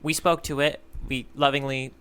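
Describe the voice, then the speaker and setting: Bright-voiced man in casual podcast banter. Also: medium pitch, little pitch movement, normal speed. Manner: hesitant, repeats words while thinking aloud. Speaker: podcast co-host. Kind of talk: casual comedic chat.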